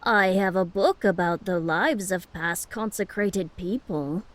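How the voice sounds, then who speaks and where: A woman's voice: fairly low pitch, a little slow, lightly acted. An actress playing a polite, inquisitive older halfling merchant woman who muses as she talks, in a fantasy audio drama. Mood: businesslike.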